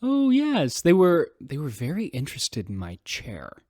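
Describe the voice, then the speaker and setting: A man's voice: on the high side, huge pitch swings, unhurried, a little mellow, no room sound, studio quality. An actor playing an eccentric male priest who rambles happily about furniture. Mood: chatty. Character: dotty elderly male priest, furniture-obsessed. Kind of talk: sci-fi radio drama.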